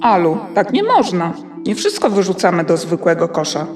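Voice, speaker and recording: A woman playing a kindly grandmother in a children's audio play, gently admonishing and instructive. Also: fairly low pitch, very animated, normal speed. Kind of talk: children's audio drama acting. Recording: slightly distant, music behind.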